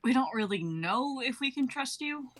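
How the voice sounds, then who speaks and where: A woman's voice: high-pitched, expressive, moderate pace, a bit bright. A young woman playing a tough, guarded but candid adventurer in a dramatic reading. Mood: wary. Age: young adult. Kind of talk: online dramatic script reading.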